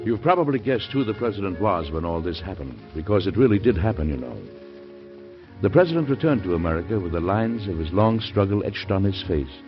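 An actor playing a weary but proud, determined older president in a dramatic, deliberate radio drama style. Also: male voice, deep voice, very animated, loud music behind the voice. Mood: solemn, proud. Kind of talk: 1950s radio drama acting. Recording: dull old radio recording.

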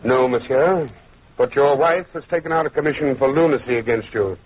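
A man playing a calm, probing, dignified French judge in old radio drama style, formal and measured. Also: mid-range voice, breathy edge, natural voice. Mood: grave. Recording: muffled, tinny old radio recording.

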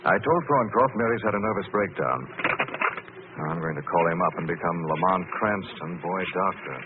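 An actor playing a shrewd, self-assured gentleman detective, composed and urbane, in old radio drama style. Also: deep voice, brisk, lightly acted. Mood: calm, scheming. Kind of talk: 1940s radio drama acting. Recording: narrow, noisy old radio recording.

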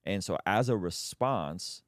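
A man's voice: low voice, slow, no echo, clean studio sound. A man from Azerbaijan hosting a podcast, telling stories in casual conversation with lots of restarts. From Azerbaijan, Armenian family. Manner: animated storyteller, many restarts. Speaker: podcast host.

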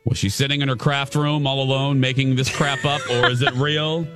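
A man hosting a radio morning show who talks loudly and theatrically, projecting his voice in easy banter. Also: medium pitch, level tone, normal speed, music in the background. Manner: loud, projecting, theatrical talker. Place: studio.

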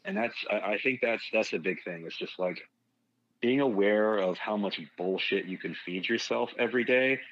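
A man with a fairly low voice talks through fitness in loose, rambling podcast chat. He is blunt and swears freely. The recording sounds a little dull and lo-fi. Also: moderate pace. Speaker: fitness guest.

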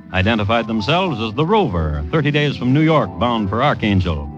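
A man narrating a scripted old-time radio story in a wry, declamatory way with deliberate rhetorical phrasing. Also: medium pitch. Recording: old radio broadcast with music behind, dull. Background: music.

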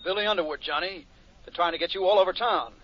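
An actor playing an eager, brisk male fire inspector calling on the telephone in a 1950s radio drama. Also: sits high, background noise. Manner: brisk and excited. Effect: telephone line. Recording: tinny phone call in an old broadcast.

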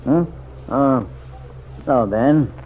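Through a thin, phone-like vintage radio recording comes a man playing a small-town sheriff with a medium-pitched voice. He sounds worn down and guarded, answering in a laconic, folksy, dryly skeptical way. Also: slow pace, a little hiss or hum, close to an everyday voice. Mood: glum, evasive. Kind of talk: old-time radio drama acting.

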